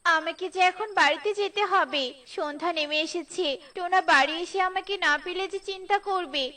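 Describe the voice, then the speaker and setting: Tiny, very high-pitched helpful bird. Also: female voice, moderate pace, bright, forceful tone. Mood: fretful. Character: kind, helpful little female tailorbird, married, eager to please.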